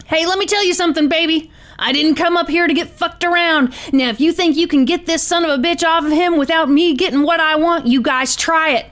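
A woman's voice: very high-pitched, steady tone, fairly quick, hardly any pauses, a bit bright, pitched above the performer's own voice. A woman voicing an agitated, cursing male hostage-taker with a gun, reading his defiant, folksy threats from a transcript. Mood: threatening. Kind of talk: reading a call transcript aloud.

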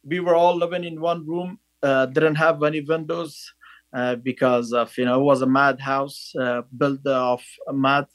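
A man, a podcast guest telling his story in a halting way, with many fillers. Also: mid-range voice, steady tone, no echo. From Afghanistan. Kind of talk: casual interview storytelling.

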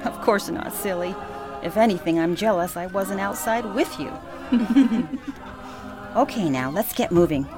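A woman playing a loving, bustling grandmother in a sentimental radio play, expressive, chatty and affectionately teasing. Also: medium pitch, measured pace, pauses often, music in the background, lightly acted.

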